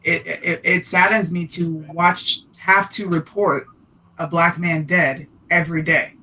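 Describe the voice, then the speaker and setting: Man, podcaster, emphatic, argumentative, high bright voice. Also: steady tone, a little slow, a little hiss or hum, low-quality recording. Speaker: podcaster guest. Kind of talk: casual discussion.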